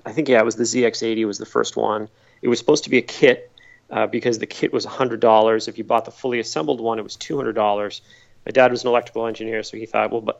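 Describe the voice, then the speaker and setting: Gravelly middle-aged man, easygoing storyteller. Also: medium pitch, muffled recording. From Massachusetts, US. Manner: relaxed storytelling, repeats words while thinking. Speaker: podcast guest. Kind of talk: conversational interview answers.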